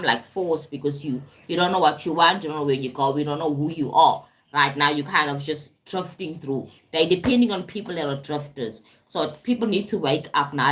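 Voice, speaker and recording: A woman from South Africa speaks in a low voice for a woman, talking loosely to viewers on a live stream. She is a spiritual coach who wanders through her points and circles back to them. She keeps tagging her sentences with "right?" and "you understand?". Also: low-quality recording. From South Africa (Durban). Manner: rambling and repetitive, often asks "right?" and "you understand?". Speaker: spiritual and life coach, tarot reader.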